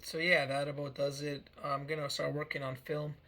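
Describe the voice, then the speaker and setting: A man's voice: on the high side, little pitch movement, moderate pace, sharp tone. A man in his early thirties giving a meandering personal life update, often repeating himself and restarting phrases. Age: 30s. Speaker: vlogger.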